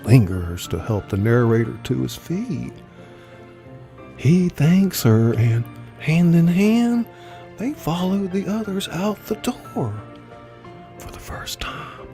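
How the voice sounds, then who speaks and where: A man narrating a scripted dramatic story in a deliberate manner, sometimes hushed. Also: medium pitch. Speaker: narrator of a live story reading.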